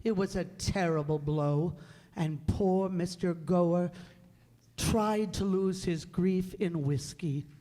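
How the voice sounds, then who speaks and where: A performer playing a calm, authoritative and kindly senior angel in a radio drama, patiently giving instructions. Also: medium pitch, slow pace, natural voice. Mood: grave, explanatory. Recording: echoey hall, long reverb.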